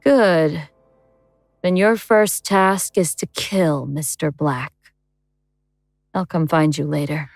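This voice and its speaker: A woman playing an ancient, powerful elder god in human guise, speaking with deliberate, imperious menace in a horror audio drama. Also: low-pitched, fairly even intonation, dry recording, studio quality.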